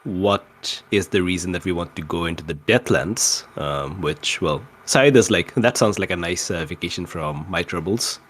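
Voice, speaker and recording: A man casually recapping a tabletop roleplay campaign as one of its players, in a halting way that circles back to rephrase. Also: sits low, a bit bright, husky.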